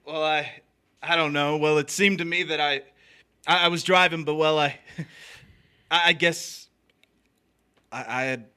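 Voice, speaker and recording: An actor playing an uneasy, guilt-ridden drifter in a noir stage drama, confessing his crime in a halting way. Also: fairly high pitch, fairly even intonation, sharp tone, smooth. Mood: uneasy, hesitant. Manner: hesitant, halting in dialogue.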